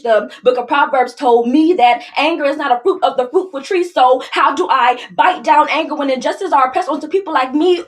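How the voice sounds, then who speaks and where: A woman with a markedly high-pitched voice performs spoken word poetry. Her delivery is dramatic and declamatory, with impassioned stress on the key words. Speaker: spoken word poet in a contest.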